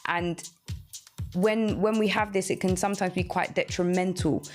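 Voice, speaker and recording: A woman's voice: fairly low pitch, fairly even intonation, normal speed, soft background music. A woman hosting a radio show, giving conversational practical advice.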